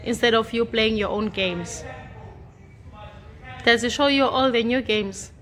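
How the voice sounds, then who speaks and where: A woman's voice: fairly high pitch, measured pace, fairly bright tone. Chatty mother vlogging on her phone. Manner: chatty, teasing, often laughing, addresses viewers as guys. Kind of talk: casual vlog chat to camera. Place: home bedroom, indoors. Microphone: phone at arm's length.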